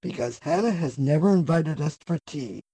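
A wicked storybook sorceress, played by a man, grasping and petulant. The voice is gravelly and husky, swinging wildly up and down with melodrama in a fairy tale audio play. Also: a little high, animated, moderate pace, warm tone, dry recording, voice pushed up, low-quality recording.

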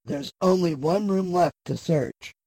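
A man voicing a wicked, scheming, petulant sorceress with a raspy, creaky voice, melodramatic, in a fairy tale audio drama. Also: sits high, animated, unhurried, warm tone, dry recording, faint music behind, voice pushed up, heavily acted.

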